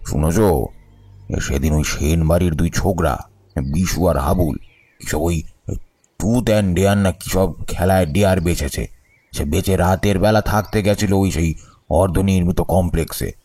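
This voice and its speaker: An actor playing an elderly, gossipy neighbourhood gentleman who chats with mock concern in an audio story. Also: sits low, moderate pace, husky, no room sound. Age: older. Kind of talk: audio story character acting.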